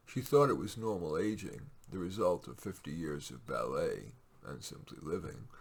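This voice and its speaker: A man with a raspy, gravelly voice reads his own short stories aloud in a measured, expressive way, with casual asides. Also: deep voice.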